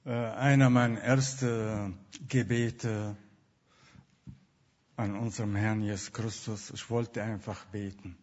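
Syrian-accented man, slow and husky, halting testimony on a dull lo-fi recording. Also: fairly low pitch, steady tone, darkish tone. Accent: Syrian Arabic. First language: Arabic. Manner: hesitant, searching for words with many fillers. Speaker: guest. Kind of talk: personal testimony.

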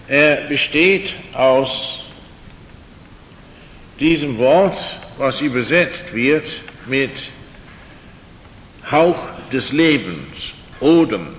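Older guy, german not his first language, slow halting lecture w/ dry jokes, roomy echoey sound. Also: fairly bright tone. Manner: slow, halting, with dry humor and many fillers. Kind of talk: evening lecture on creation and faith. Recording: dull, echoey hall recording with faint rumble.

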